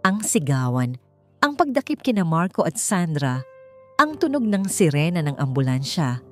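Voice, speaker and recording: Woman storyteller reading a love drama, kinda low voice, faint music behind. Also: sing-song, normal speed. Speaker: story narrator. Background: background music.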